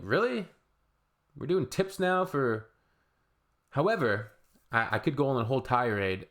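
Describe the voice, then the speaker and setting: A man's voice: fairly low pitch, a bit bright. A man, hosting a reaction video in a loose, rambling way with shrugging asides. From Canada. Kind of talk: casual reaction commentary.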